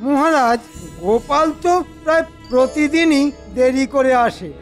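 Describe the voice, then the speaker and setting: A man's voice: very high voice, unhurried, soft background music. A man playing a scheming, petulant, self-important cartoon minister, whiny and argumentative. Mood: indignant. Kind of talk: Bengali cartoon voice acting.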